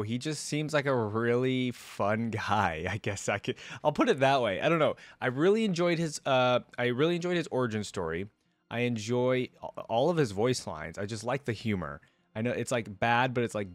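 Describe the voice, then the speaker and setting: A man's voice: lowish voice, dry recording. A man hosting a reaction video, commenting casually and speaking to viewers.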